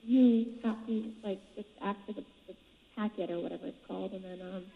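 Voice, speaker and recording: A woman's voice: medium pitch, a little fast, gravelly. A woman chatting casually over the telephone in a recorded call. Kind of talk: casual phone conversation. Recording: thin, reverberant, noisy phone line.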